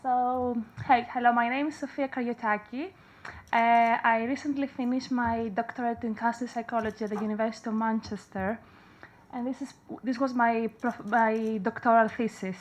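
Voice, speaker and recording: A woman's voice: on the high side, fairly even intonation, normal speed, slight background noise. A woman in her thirties from Greece with a Greek accent, presenting research at a conference, hesitant with many fillers and self-corrections. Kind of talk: academic conference talk. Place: conference room.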